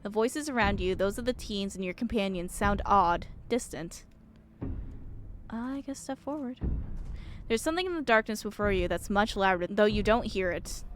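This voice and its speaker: A woman's voice: mid-range voice, lively intonation, normal speed, a little hiss or hum. A woman streamer reading a visual novel aloud and reacting expressively as she goes.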